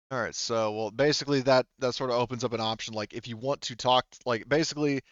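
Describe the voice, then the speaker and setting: Halting, clear-voiced male game master. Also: fairly low pitch, a bit bright, no echo, dull audio. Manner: halting, rambling delivery full of hedging asides. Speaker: game master of a tabletop roleplaying game. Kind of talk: improvised narrating and refereeing of a tabletop game.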